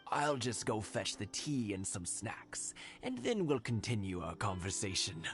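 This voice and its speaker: An actor playing a kindly, courteous elderly village husband in a video game. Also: male voice, on the low side, big pitch swings, unhurried, a bit bright, exaggerated voice. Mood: politely insistent. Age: older. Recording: music behind the voice.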